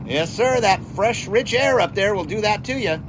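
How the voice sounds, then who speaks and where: An actor playing a cheerful, eager American test pilot of the 1920s in an audio drama, with a folksy, teasing manner. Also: male voice, sits high, animated, normal speed, noisy background. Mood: jovial, teasing. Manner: folksy, jaunty, enthusiastic. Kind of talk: period audio drama acting.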